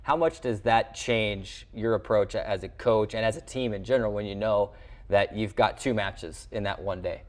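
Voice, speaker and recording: A man's voice: on the low side, normal speed. A man hosting a sports interview show in a television studio, with a wordy broadcaster's way of presenting.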